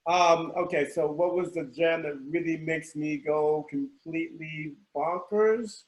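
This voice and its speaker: A man's voice: fairly high pitch, fairly even intonation, unhurried. A man chatting casually as a guest, thinking aloud with a hesitant, thoughtful delivery, on roomy, echoing live-stream audio. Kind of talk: casual chat.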